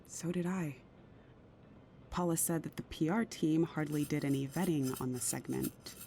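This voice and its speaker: A troubled, guarded career woman in an intimate audio drama. Her voice is lowish and soft-edged, measured and confiding. A faint rumble sits behind her. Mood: anxious, confiding. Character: careful, troubled career woman, secretly a mother.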